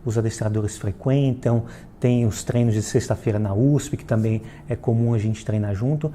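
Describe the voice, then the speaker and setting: A man's voice: lowish voice, steady tone, moderate pace, dark tone, a little hiss or hum. A Brazilian man from Salvador giving an explanatory question-and-answer talk as a franchise founder, in a didactic way with frequent check-in tags. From Salvador, Bahia, Brazil.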